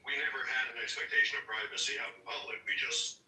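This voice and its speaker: A man's voice: fairly low pitch, fast, bright, forceful tone. A man in a group discussion, explaining things and correcting the others. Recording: echoey room, far from mic.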